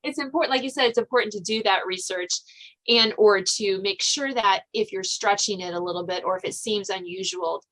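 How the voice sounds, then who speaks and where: A woman's voice: mid-range voice, a little fast, a bit bright, poor audio. An American woman hosting a podcast, chatting casually with a guest as a fellow writer. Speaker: podcast host and novelist. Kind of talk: casual interview chat.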